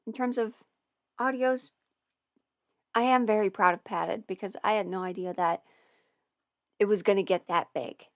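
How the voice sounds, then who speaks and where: A woman's voice: mid-range voice, normal speed, pauses often, fairly bright tone, dry recording, studio quality. A young woman in casual livestream chat with viewers, rambling, laughing often and swearing now and then. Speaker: audio performer answering viewer questions.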